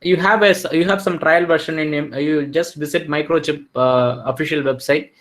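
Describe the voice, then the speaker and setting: A man's voice: fairly high pitch, steady tone, normal speed, a bit bright. A man with an Indian English accent giving a technical webinar over a video call, explaining step by step and often checking in with "right?". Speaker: webinar speaker.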